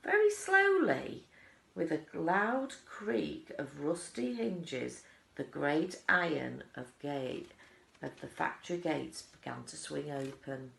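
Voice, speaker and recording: A woman's voice: sits low, big pitch swings, slow pace, creaky, fairly bright tone. A woman narrating a children's story aloud. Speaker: audiobook reader, teaching assistant. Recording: roomy echo.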